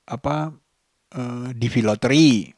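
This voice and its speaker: A man, giving a casual vlog monologue in halting, deliberate short phrases. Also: mid-range voice, darkish tone, no room sound, studio quality. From Indonesia. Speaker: vlogger.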